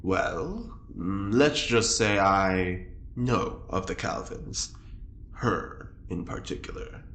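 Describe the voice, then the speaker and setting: A man's voice: low voice, animated, slow, fairly bright tone, heavily acted. A man playing a scheming, teasing prisoner in an audio drama, with a sly, theatrically coaxing manner. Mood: musing, wary. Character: smooth-talking, scheming, teasing male prisoner. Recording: echoey room with faint noise.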